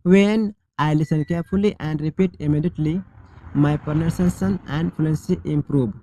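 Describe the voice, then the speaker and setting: A male English teacher leading a shadowing drill, reading each model sentence slowly and deliberately, then repeating it clearly. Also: on the high side, mellow, slight background noise, low-quality recording.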